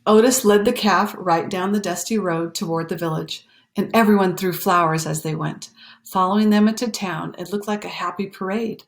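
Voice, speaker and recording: A woman reading a children's picture book aloud in an expressive, animated way, pausing for emphasis and talking to young listeners. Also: on the low side. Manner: animated, explanatory, pausing for emphasis and dropping to hushed phrases. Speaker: storytime reader.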